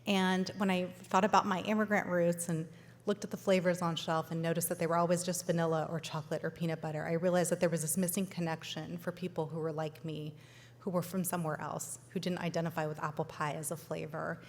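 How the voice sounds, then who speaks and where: A woman's voice: on the low side, flat delivery, quick pace. A woman who grew up in Los Angeles gives an informal, conversational keynote as a founder. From Los Angeles, born in Sudan. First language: not English, learned it at five. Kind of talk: informal keynote talk to an audience. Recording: echoey hall, long reverb.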